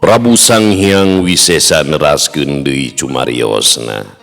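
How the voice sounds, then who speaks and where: A man's voice: rumbling low, takes it slowly, creaky, a bit bright. A man with a Sundanese accent hosting and narrating folk tales in a casual storyteller style. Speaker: storyteller and host. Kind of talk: folk tale narration and casual host chat. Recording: long hall reverb, music underneath.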